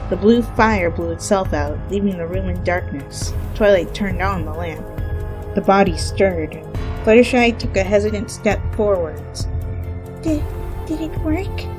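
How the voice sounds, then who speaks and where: A woman's voice: mid-range voice, measured pace, over music. A woman giving a scripted dramatic reading of a story as its narrator.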